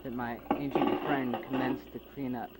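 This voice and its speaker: Guy narrating his homemade audio drama, quiet and reflective, mid pitch. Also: level tone, normal speed, heavy background noise. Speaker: narrator and creator.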